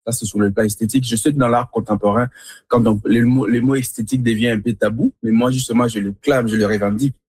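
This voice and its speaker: A man's voice: lowish voice, a little mellow, slightly rough, no room sound. A man from Congo speaking as an artist in an interview, reflective, searching for his words and repeating himself. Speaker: visual artist being interviewed. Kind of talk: reflective interview answers about own work.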